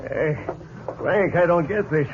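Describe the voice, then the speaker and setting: A male actor as a slow-witted, excitable outlaw sidekick in a 1950s radio western. He talks high and puzzled, always questioning. The sound is dull and clearly noisy. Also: close to an everyday voice. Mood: baffled. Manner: bewildered, questioning. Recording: muffled, noisy old radio broadcast.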